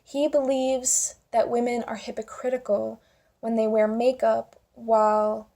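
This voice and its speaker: A woman's voice: a little high, little pitch movement, unhurried, gravelly, dark tone. A young woman giving her opinions casually in a vlog, with an informal, wry way of talking. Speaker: video commentator.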